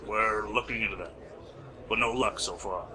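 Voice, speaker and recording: A man playing a brisk, dry police officer in an amateur radio play, clipped and procedural. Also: on the low side, pushed and bright. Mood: matter-of-fact.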